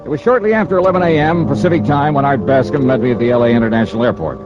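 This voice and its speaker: A man acting in a 1950s radio drama as a brisk, wry American insurance investigator, clipped and probing in his questions and narration. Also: medium pitch, music in the background, lightly acted. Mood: wry, businesslike. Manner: clipped, probing, hard-boiled. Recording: muffled old radio broadcast recording.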